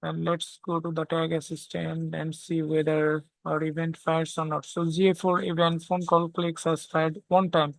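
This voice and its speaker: A tutorial instructor walking through software step by step, often checking in with a short questioning tag. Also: mid-range voice, monotone, normal speed, creaky, hardly any pauses, dry recording, poor audio. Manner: checks understanding with frequent "okay?".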